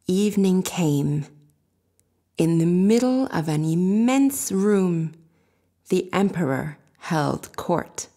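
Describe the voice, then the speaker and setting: Female fairy-tale narrator: slightly low voice, very unhurried scripted reading. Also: animated, warm tone. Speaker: storyteller.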